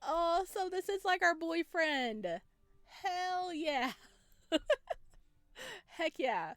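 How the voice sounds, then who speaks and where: A woman's voice: extremely high pitch, animated, very slow, fairly bright tone, no echo, studio quality. A woman giving chatty, playful commentary on a playthrough, reading lines aloud as she goes. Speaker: game streamer. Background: game music.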